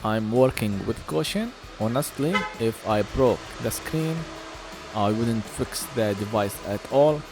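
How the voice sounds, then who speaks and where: A man giving an informal voice-over for a phone repair, speaking halting, non-native English. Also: fairly low pitch, animated, over music. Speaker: phone repair video host.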